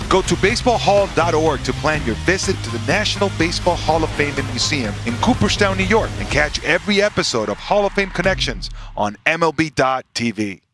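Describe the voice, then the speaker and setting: A man's voice: fairly high pitch, expressive, a little fast, over music. A man reading scripted promotional narration as an announcer.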